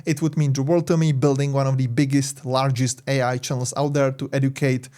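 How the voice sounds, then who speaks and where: A man's voice: medium pitch, flat delivery, fairly quick, warm tone. A man with a Czech accent, a tech YouTuber talking casually over his screen, rambling with many fillers and self-corrections. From Czech Republic.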